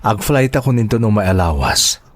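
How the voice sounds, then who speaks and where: A man playing a devoted, gentle father and dutiful son in a radio drama, speaking earnestly and coaxingly. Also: on the low side, very animated, moderate pace, no echo. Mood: serious, pleading.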